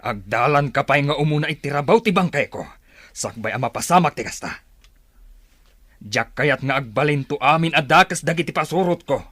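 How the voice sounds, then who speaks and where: A man playing a proud, defiant captive leader in a radio drama, raspy and impassioned. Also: on the high side, lively intonation, fairly quick, fairly bright tone, dry recording. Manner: impassioned, challenging. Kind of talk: Filipino radio drama acting.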